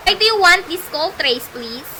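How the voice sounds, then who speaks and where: A woman's voice: very high voice, animated, moderate pace, sharp tone, heavily acted, low-quality recording. A woman playing a cool, officious telephone operator with a formal, detached manner in an amateur radio play. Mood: formal, official. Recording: faint background noise, amateur home recording.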